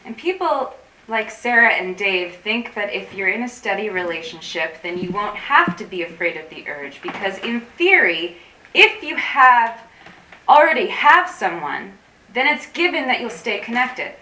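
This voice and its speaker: A woman's voice: medium pitch, big pitch swings, unhurried, bright, forceful tone. An actress playing an anxious, intense woman in a staged play reading, speaking in a wordy, confessional, dramatic way. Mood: restless, earnest. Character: anxious, intense woman craving digital disconnection. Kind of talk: theatrical staged reading, monologue-like dialogue. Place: room at a live staged reading. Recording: roomy, distant mic, faint noise.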